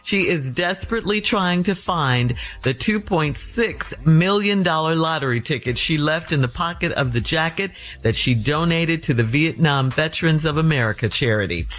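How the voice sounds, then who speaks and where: A woman's voice: low-pitched, lively intonation, normal speed, few pauses, fairly bright tone. A woman co-hosting a morning radio show, reading items and bantering casually. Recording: music playing behind the voice. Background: background music.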